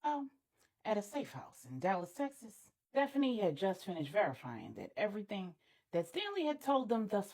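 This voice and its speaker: A woman storyteller delivering dramatic scripted narration with deliberate, emphatic pauses. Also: medium pitch, a little mellow, slightly rough.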